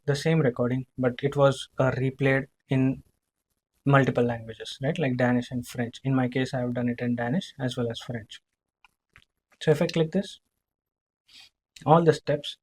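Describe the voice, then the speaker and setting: A man demonstrating software in a live screen-share walkthrough, speaking haltingly, repeating himself and often checking in with a short tag question. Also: medium pitch, slight background noise. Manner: halting, repetitive, checking in with "right?".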